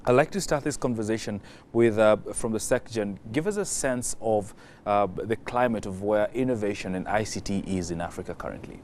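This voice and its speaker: A man with a lowish voice moderates a broadcast panel discussion, speaking in a formal, measured way with frequent "uh"s. Faint background noise from the event hangs behind him. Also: a little mellow. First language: not French.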